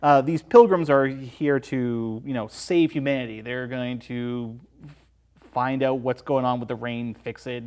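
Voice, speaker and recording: A man's voice: mid-range voice, fairly even intonation, a little slow, a little mellow, clear, resonant tone. A man appearing as a guest on a film review show, giving his thoughts in casual discussion.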